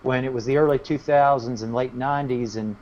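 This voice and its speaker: A man's voice: medium pitch, fairly even intonation, brisk, a little mellow. A man speaking as a country musician in a casual interview, explaining things in a rambling way. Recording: muffled, lo-fi audio with faint noise.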